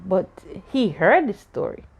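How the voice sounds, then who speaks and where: A woman's voice: medium pitch, big pitch swings, measured pace, husky, lo-fi sound. A woman talking a child through a story, asking questions, laughing often and playfully challenging the child's answers. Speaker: aunt discussing a story with a child. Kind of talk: casual discussion after a story reading.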